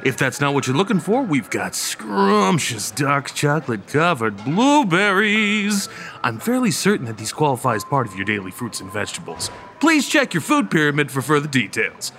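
A young man acting a goofy college stoner with showy salesman patter and theatrical, drawn-out emphasis. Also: fairly high pitch, huge pitch swings, creaky, a bit bright, soft background music, exaggerated voice. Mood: showman pitch. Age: young adult. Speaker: actor in audio drama. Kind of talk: theatrical dialogue in old time radio style audio play.

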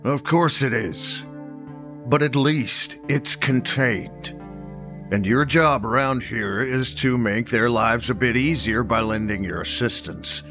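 An actor playing an old small-town sheriff in a horror audio drama, matter-of-fact, folksy and quietly threatening. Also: male voice, mid-range voice, slow, over music, lightly acted. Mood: firm.

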